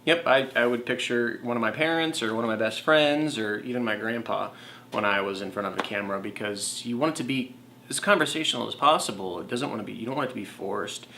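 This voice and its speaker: A man's voice: mid-range voice, fast talker, pushed and bright, a little hiss or hum. A man co-hosting a casual talk, sharing tips on speaking to a camera in an earnest, conversational way with many fillers. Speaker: co-host, former TV meteorologist.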